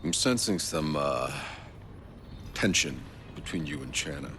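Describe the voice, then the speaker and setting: A man's voice: rumbling low, expressive, measured pace, fairly bright tone, a little hiss or hum, full character voice. A man playing a laconic, commanding mercenary soldier in a video game cutscene. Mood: dry. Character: gruff, low-voiced mercenary soldier man, laconic leader.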